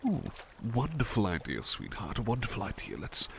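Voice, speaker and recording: A man playing a devoted, tender butler in an ASMR roleplay, murmuring softly and a little hesitantly to the listener. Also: fairly low pitch, wildly swooping pitch, normal speed, creaky, background noise, exaggerated voice. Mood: gentle, absorbed. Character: devoted, tender male butler who loves painting.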